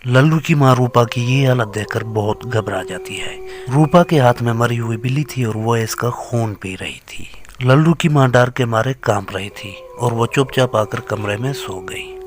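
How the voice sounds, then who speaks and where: A man's voice: medium pitch, moderate pace, hardly any pauses, a little hiss or hum. A man reading scripted horror fiction as a storyteller.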